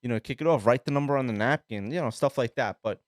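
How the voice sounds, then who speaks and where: A man, co-hosting a casual podcast and telling rambling, animated stories with a lot of swearing. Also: medium pitch, fast, no echo, clean studio sound. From Sicily. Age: 30s. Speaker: podcast co-host. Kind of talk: casual chat.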